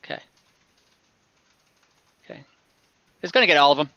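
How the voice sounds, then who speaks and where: A man's voice: a little high, animated, moderate pace, pushed and bright, no echo, dull audio. A man running an online tabletop game as game master, talking in a casual, informal way.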